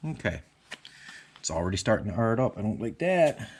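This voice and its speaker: A man's voice: on the low side, very animated, moderate pace, darkish tone, slight background noise. A man with a Newfoundland accent hosting a casual do-it-yourself car repair video, talking through his work with lots of fillers and restarts. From Newfoundland, Canada. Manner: chatty, rambling, with frequent fillers and restarts. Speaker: channel host. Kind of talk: casual DIY garage tutorial. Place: home garage.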